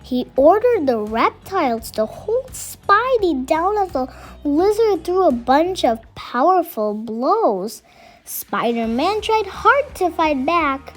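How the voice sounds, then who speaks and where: Female children's storybook reader, expressive and high-pitched, unhurried delivery, faint music underneath. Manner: expressive, addresses young listeners with questions. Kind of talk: read-aloud storytelling with chatty asides to children. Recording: music behind the voice.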